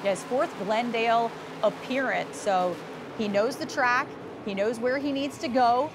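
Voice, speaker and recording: A woman's voice: fairly high pitch, measured pace, background noise. A woman doing upbeat play-by-play commentary on a motorsport show, with engines behind her. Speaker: sports commentator. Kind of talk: arena sports commentary.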